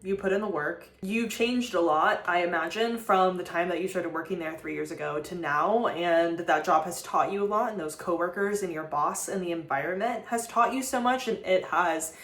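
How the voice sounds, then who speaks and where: A woman's voice: lowish voice, moderate pace, hardly any pauses, reverberant. A woman vlog host talking casually and conversationally, replying to messages with emphatic reassurance. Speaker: host of a voicemail show.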